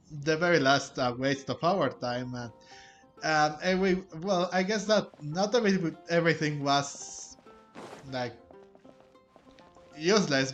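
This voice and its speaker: A man giving casual game playthrough commentary as host, reading text aloud and rambling in a halting, repetitive way. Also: fairly high pitch, fairly bright tone. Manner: halting, rambling, repeats himself. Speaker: gaming channel host.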